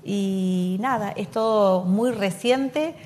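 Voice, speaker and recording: A woman's voice: mid-range voice, slow pace, darkish tone, long reverb tail, slight background noise. A middle-aged Argentine woman teacher talking earnestly in a studio interview, with many fillers. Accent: Argentine Spanish. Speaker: teacher of economics, guest. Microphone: handheld mic.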